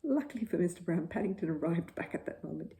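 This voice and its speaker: Mellow, expressive woman storyteller. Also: fairly low pitch, quick pace, gravelly. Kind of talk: scripted bedtime story narration.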